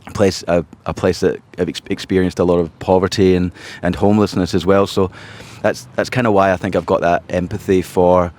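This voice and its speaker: A man with a Glasgow Scottish accent, a podcast guest talking casually, often pausing and restarting his sentences. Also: deep voice, flat delivery, a little mellow. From Scotland. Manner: hesitant, many restarts and fillers. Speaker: podcast guest, charity founder. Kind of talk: casual interview chat.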